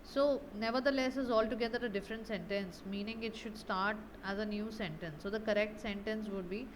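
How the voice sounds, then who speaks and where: Casting: female grammar tutor, mid-pitched, didactic, walks through answers and checks understanding, some background noise. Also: steady tone, fairly quick, rough recording. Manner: didactic, often ends points with a checking tag question. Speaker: English grammar teacher. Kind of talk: explaining grammar exercise answers.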